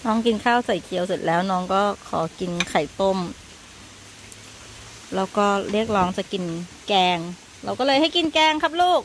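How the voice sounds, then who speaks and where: A woman's voice: medium pitch, animated, moderate pace, low-quality recording. A woman chatting casually while filming her baby on a veranda, playful and talkative. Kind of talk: casual family vlog chat. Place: covered veranda on a rainy day. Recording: phone video with loud rain noise. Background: rain and outdoor ambience.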